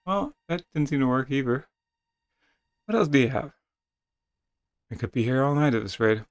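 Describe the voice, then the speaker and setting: Expressive man reading a text game aloud. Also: medium pitch, moderate pace. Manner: expressive, acting out dialogue. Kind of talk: dramatic reading of a text adventure with casual asides.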